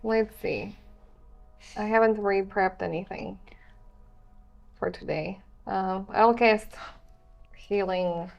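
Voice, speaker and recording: A woman's voice: medium pitch, slow pace, vocal fry, pauses often, highs cut off, faint music behind. A woman playing a tabletop game, chatting casually and thinking aloud in a scattered way.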